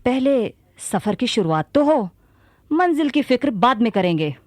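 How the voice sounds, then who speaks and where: High-voiced bold warrior sultana Razia. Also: female voice, very animated, a little slow, darkish tone. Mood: determined. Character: Razia, bold commanding young warrior sultana, devoted daughter. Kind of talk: Hindi radio drama acting.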